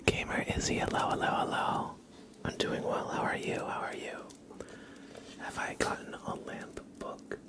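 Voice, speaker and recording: A man hosting an ASMR livestream, chatting casually in a breathy whisper and soft voice, with hesitant, bantering asides. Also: moderate pace.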